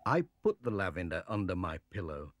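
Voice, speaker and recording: A man voicing a gentle, sleepless village postman who narrates his week slowly and simply for a children's read-along storybook. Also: deep voice, slight background noise. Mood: weary storytelling. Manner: simple, deliberate, expressive delivery.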